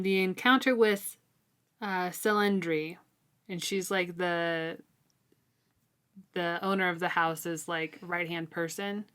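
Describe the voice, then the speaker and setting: A woman co-hosting a casual, informal book discussion, talking in a halting, conversational way. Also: lowish voice, fairly bright tone, clean tone. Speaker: co-host of a book read-along discussion.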